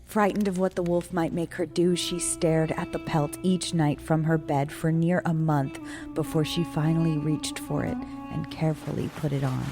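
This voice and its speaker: A woman narrating a scripted dark folk tale as a storyteller, in a measured, solemn and dramatic way. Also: deep voice, level tone, music in the background. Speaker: storyteller, guest writer reading own story.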